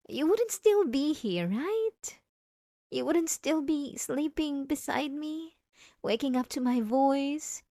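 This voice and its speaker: A woman playing an obsessive, possessive wife in an ASMR roleplay, speaking in a soft, coaxing, sweetly patronizing manner. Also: high voice, no room sound, studio quality, full character voice. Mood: obsessive pleading.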